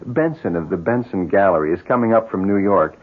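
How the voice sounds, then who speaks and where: An actor in a 1970s radio drama playing a considerate, concerned family friend, in muffled broadcast sound. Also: male voice, sits low, fairly quick, husky. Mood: friendly, measured.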